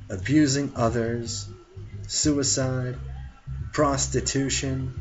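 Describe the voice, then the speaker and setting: A man reads spiritual teachings at a slow, measured pace, pausing between short phrases. His pitch is average for a man. The recording is dull and lo-fi, with faint music playing behind him. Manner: deliberate, broken into short phrases. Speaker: reader. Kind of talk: slow read-aloud of religious teachings with brief asides.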